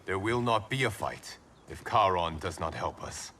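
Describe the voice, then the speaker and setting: An actor playing an earnest, principled warrior monk in a video game, speaking formally. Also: male voice, low-pitched, moderate pace, a little hiss or hum. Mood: wary. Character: earnest young male warrior monk, loyal, principled, defiant. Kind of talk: video game cutscene acting. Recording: loud game music behind. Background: loud cinematic music.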